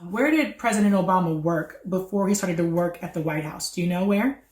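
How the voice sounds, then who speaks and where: A woman's voice: lowish voice, a little fast, darkish tone. Woman teaching in an echoey room. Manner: encouraging, repeats and confirms answers. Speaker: host of a children's read-aloud. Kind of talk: educational chat, quizzing a child.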